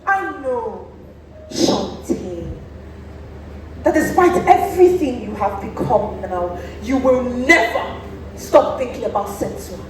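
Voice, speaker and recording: A woman's voice: on the high side, expressive, slow pace. A Nigerian woman acting a stern, proud, accusing priestess in a stage play, with an imperious, declamatory delivery. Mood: stern, defiant. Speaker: student stage actor. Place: theatre stage, recorded from a distance. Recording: very echoey theatre, distant mic, faint noise.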